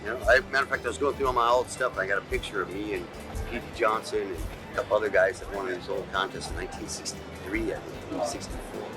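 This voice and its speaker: A man's voice: on the low side, fairly even intonation, quick pace, music in the background, rough recording. A fifty-year-old man from California's South Bay chatting in a laid-back, chummy way. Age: 50s. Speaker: interviewee. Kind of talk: casual chat. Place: outdoor surf festival.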